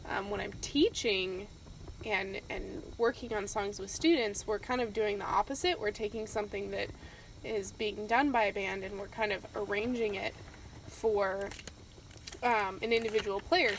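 A woman's voice: medium pitch, a little hiss or hum, rough recording. A woman who teaches music, speaking thoughtfully with a few hesitant ums in a casual podcast chat.

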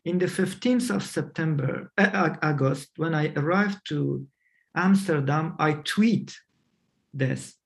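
Slow, halting man. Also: on the high side, creaky. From Afghanistan. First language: not English. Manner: halting, many fillers and restarts. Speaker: interview guest. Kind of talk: remote interview answers.

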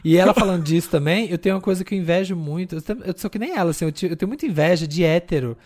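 A man, co-hosting a podcast in animated, chatty conversation full of fillers. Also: sits high, rapid-fire. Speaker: podcast co-host. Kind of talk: casual chat.

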